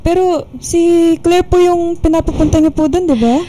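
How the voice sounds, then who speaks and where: A woman's voice: very high-pitched, steady tone, moderate pace, warm tone, a little hiss or hum. An actress playing a polite, beleaguered young female employee who pleads hesitantly but stands up for herself in a radio drama. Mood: timid, defensive. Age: young adult.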